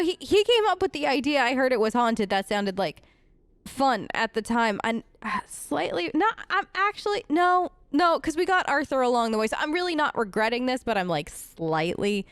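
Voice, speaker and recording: A woman's voice: high voice, expressive, normal speed, smooth, no room sound. A woman hosting a role-play game let's play, talking casually in character with a hesitant, playfully sarcastic, conversational manner.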